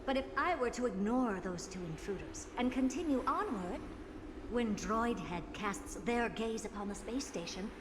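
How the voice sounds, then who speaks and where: A woman's voice: a little high, animated, moderate pace, background noise, close to an everyday voice. A woman playing a haughty, impatient genius scientist in a video game, with an imperious, dry and dramatic manner. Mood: grave. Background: prominent music.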